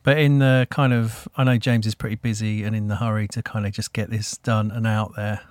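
A man's voice: fairly low pitch, fairly quick, dry recording. Guy hosting his podcast, chatty and rambly, dry close mic. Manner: relaxed, rambling, many fillers. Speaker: podcast host. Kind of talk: casual interview chat.

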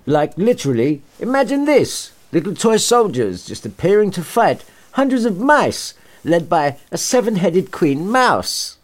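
A man's voice: sits high, big pitch swings, a little slow, hardly any pauses. A man narrating a storybook comically, with irreverent, sarcastic, sweary asides and a theatrical manner. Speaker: story reader and podcast host.

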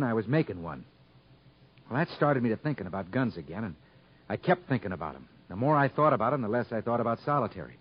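An actor playing a stubborn, plain-spoken convict gunsmith with a rural American accent in a 1950s radio drama. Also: male voice, fairly low pitch, slightly rough. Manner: folksy, ungrammatical, earnest speech. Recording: muffled vintage 1950s radio recording.

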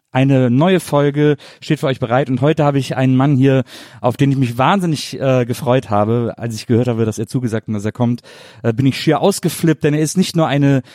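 A man's voice: medium pitch, a little fast, dark tone, no room sound, clean studio sound. A man hosting a casual interview podcast, with a wordy, circling way of phrasing things. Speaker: podcast host. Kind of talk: casual interview, chatty introduction.

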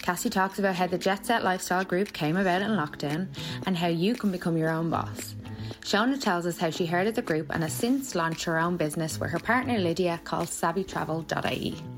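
A woman reading a scripted episode introduction in an even, read-aloud way. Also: lowish voice, a little fast. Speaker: podcast intro voice. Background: music.

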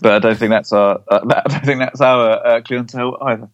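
An English man co-hosting a casual football podcast, with a middling pitch. He talks in wordy, hesitant runs and often turns his statements into small questions. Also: steady tone, brisk, muffled recording.

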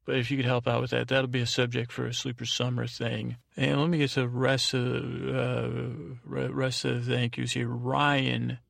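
A man with a very creaky, gravelly voice hosts a podcast. He talks casually and loosely, often restarting phrases and drifting between asides. The sound is dry, with no room around him. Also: medium pitch, completely flat delivery, fairly bright tone, no room sound. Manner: halting, meandering, repeats and restarts phrases. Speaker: podcast host. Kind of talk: rambling casual monologue with thank-yous.

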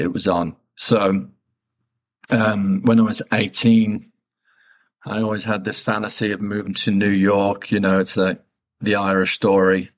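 A man, a podcast guest casually telling stories about his upbringing in a relaxed, chatty, self-deprecating way. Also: fairly low pitch. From England. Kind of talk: casual conversational storytelling.

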